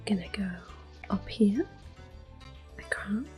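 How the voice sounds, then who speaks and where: Slow, whispering woman. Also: medium pitch, soft background music. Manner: soft-spoken whisper, gentle and unhurried. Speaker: ASMR gaming host. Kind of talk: whispered ASMR gameplay commentary. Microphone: close ASMR microphone.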